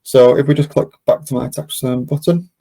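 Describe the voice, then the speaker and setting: A man presenting an informal software walkthrough, explaining casually step by step. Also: mid-range voice, fairly quick, creaky. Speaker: software demonstrator, webinar presenter. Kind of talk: informal screen-share walkthrough.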